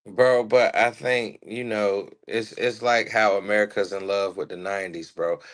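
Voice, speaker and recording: A man's voice: lowish voice, steady tone, moderate pace. A man co-hosting a political livestream, making his case in an emphatic, argumentative, slangy way. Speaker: livestream co-host. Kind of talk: casual political debate chat.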